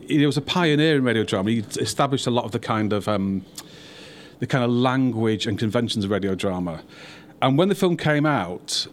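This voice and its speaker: A man's voice: on the low side, a little hiss or hum. A man hosting a podcast and film event with wry, self-deprecating humour. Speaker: podcast host.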